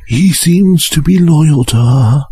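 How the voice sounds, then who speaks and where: Man acting calm wizard, extremely husky, mellow, deliberate, conciliatory, audio drama. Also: a little high, no room sound, studio quality, full character voice. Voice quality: husky, breathy.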